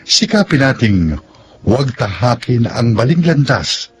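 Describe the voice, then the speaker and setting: A man's voice: medium pitch, sing-song, a little slow. A man narrating as a radio drama host, scripted, solemn and dramatic in delivery. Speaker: host and announcer. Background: music.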